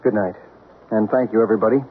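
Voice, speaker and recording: A calm, wry ghost investigator. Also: male voice, lowish voice, fast, husky. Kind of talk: 1970s radio drama acting. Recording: tinny old radio broadcast.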